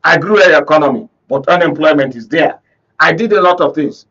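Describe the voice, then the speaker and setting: A man's voice: on the high side, brisk, a bit bright, highs cut off. A man with a Nigerian accent giving emphatic, rhetorical political commentary as a panel guest on a talk show, often checking that listeners follow. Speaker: panel guest, conflict reporter. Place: radio and TV studio discussion.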